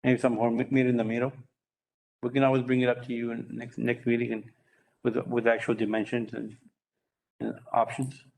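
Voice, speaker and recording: A man speaking informally and haltingly in a meeting discussion, often repeating words as he goes. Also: mid-range voice, monotone, creaky, darkish tone, low-quality recording. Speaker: official leading a library board meeting discussion. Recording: faint background noise.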